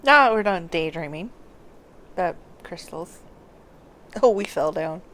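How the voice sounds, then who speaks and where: A woman's voice: fairly low pitch, expressive, measured pace, a bit bright, a little hiss or hum, low-quality recording. A woman giving a casual live walkthrough as a game streamer, commenting as she plays.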